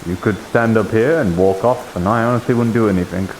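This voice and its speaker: A man acts out a probing, shrewd abbey dweller in an online fantasy roleplay. His voice sits low and his phrasing is formal and insinuating. A light hiss runs under the recording. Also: brisk, lightly acted, rough recording. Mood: coolly threatening. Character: shrewd, probing male abbey member pressing for secrets. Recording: hissy live stream microphone.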